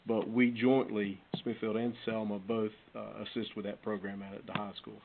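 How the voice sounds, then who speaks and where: A man's voice: on the low side, level tone, normal speed, creaky, narrow, tinny audio. A man answering questions in a budget meeting in an explanatory, matter-of-fact way, as a fire chief. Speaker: fire chief reporting to council. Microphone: conference call. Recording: phone-band conference call, noisy, clicks.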